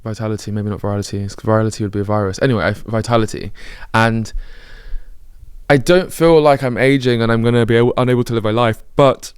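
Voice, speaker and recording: A thirty-year-old man with a British accent speaks to camera in a candid, self-correcting ramble. His voice sits a little low and comes across smooth and clear, and he rattles along at a brisk clip. The sound is close and dry, with no room around it. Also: steady tone, no echo. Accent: British English. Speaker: vlogger. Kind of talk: reflective personal monologue.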